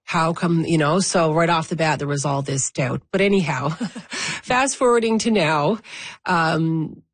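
Interview host: woman, casual and chatty, somewhat low pitch, dry close sound. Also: measured pace, no room sound. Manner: casual, chatty, many fillers. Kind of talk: conversational interview.

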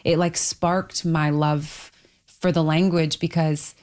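A woman with a low-set voice shares her views in a casual discussion. She is candid and reflective, leaning on key words for emphasis as she thinks aloud. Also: level tone, takes it slowly, darkish tone. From Toronto, Canada. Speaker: book club member on a discussion panel.

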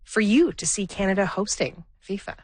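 A woman's voice: medium pitch, normal speed, a little mellow, breathy edge, dry recording. A woman asking questions as an interviewer in a broadcast conversation.

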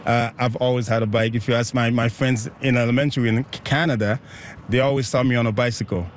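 A man's voice: mid-range voice, fairly even intonation. Upbeat man from Haiti, faint traffic behind. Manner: upbeat, laughs often, quick bursts. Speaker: bike ride organizer. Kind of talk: casual interview.

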